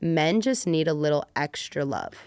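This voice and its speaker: A woman's voice: low voice, expressive, moderate pace, darkish tone, smooth, no echo, studio quality. A woman speaking casually as a podcast guest, telling stories in an informal, slangy way. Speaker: podcast guest, community builder. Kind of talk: casual conversational interview.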